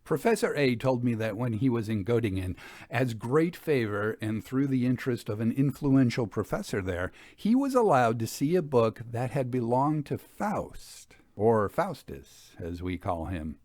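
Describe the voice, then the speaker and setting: A man giving a formal, measured and expressive storytelling read of an old Victorian ghost account. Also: mid-range voice, no echo. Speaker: audiobook reader. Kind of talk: dramatic scripted narration of a Victorian ghost account.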